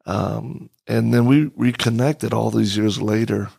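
A man's voice: fairly low pitch, fairly even intonation, measured pace, vocal fry, mellow, slightly rough, dry recording. A man hosting a podcast in a studio, chatting casually with a guest in a joking, blunt and direct way. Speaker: podcast host. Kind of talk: casual interview chat.

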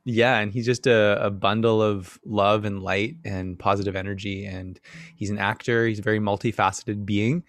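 A man's voice: fairly low pitch, fairly even intonation, normal speed, no echo. Effusive man hosting, dry close sound. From New South Wales, Australia. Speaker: podcast host. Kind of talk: casual interview.

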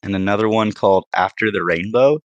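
A man's voice: lowish voice, a little fast, no echo, highs cut off. A young man co-hosting a podcast, talking in a laid-back, rambling way and laughing at his own jokes. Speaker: podcast co-host. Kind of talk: casual chat.

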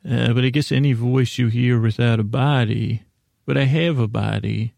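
A man hosting a sleep podcast, delivering a meandering, halting bedtime monologue full of self-interruptions and deadpan, gently silly tangents. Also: mid-range voice, vocal fry, no echo. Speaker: podcast host.

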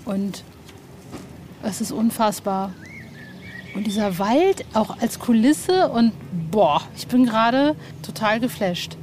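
A woman's voice: a little high, slow pace, darkish tone. An enthusiastic woman hosting a podcast on location outdoors, chatting casually and in slang. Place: outdoors at a castle tower. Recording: faintly noisy outdoor location recording.

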